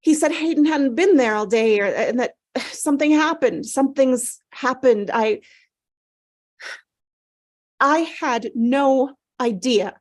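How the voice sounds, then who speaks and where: Woman acting a high-voiced, fragile, traumatised mother. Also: slow pace. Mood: shaken, recounting. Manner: faltering, repeating herself. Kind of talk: dramatic table read.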